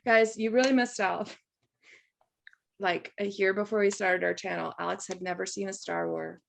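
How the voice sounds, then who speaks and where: A young woman co-hosting a casual reaction chat, chatty and animated, laughing often. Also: mid-range voice, normal speed, creaky, slight background noise. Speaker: co-host.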